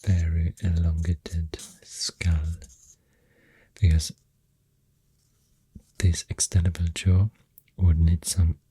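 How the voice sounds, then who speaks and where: A soft-spoken ASMR host with a Swedish accent, half-whispering in a breathy voice and hesitantly rambling up close to the microphone. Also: low-pitched, level tone, dry recording. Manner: hushed, hesitant, pausing mid-thought. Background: pencil writing sounds.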